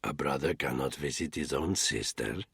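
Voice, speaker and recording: A male narrator voicing a menacing middle-aged cartel boss who talks in a cold, hoarse near-whisper. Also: a bass voice, normal speed, dry recording, clean studio sound, pitched below the performer's own voice, heavily acted. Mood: cold menace. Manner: cold, quiet, deadpan threats.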